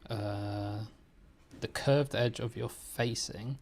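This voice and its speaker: A man on a live sewing stream, chatting casually and reading pattern instructions aloud slowly, with a self-deprecating manner. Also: on the low side, steady tone, darkish tone. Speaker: beginner sewer on a livestream. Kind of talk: casual chat. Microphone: desk microphone.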